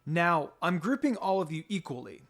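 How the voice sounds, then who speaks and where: A man's voice: fairly high pitch, sing-song, normal speed, fairly bright tone. A man voicing a businesslike, orderly male academy teacher in a fan fiction reading. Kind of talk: fan fiction character dialogue. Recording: music behind the voice.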